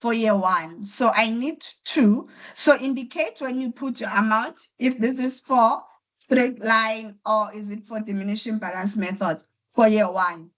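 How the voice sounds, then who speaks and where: A woman's voice: on the high side, a little slow, a bit bright, rough recording. A woman with a voice affected by flu, patiently teaching an online accounting class step by step. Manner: patient, step-by-step explaining with repetition. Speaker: accounting tutor. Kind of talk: online lecture. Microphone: computer mic on a video call.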